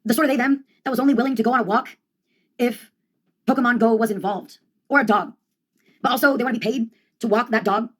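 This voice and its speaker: A young woman with a somewhat high-pitched voice performs a loose, rambling comedy monologue. Her delivery is deadpan and ironic, full of casual slang. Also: fast, long pauses.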